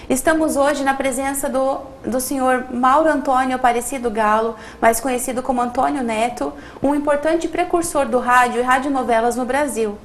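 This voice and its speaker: A woman's voice: sits high, slight background noise. A woman hosting a radio program, reading a formal introduction and then asking friendly interview questions.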